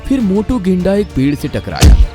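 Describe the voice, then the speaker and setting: A man's voice: high-pitched, wildly swooping pitch, normal speed, music in the background. A man narrating a scripted children's cartoon story in a dramatic storytelling style.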